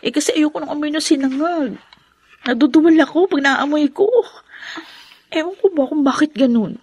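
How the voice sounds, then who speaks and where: An actress with a Filipino accent playing a homely, easygoing young newlywed wife in a radio drama, chatty and expressive. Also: female voice, sits high, moderate pace, no room sound, close to an everyday voice.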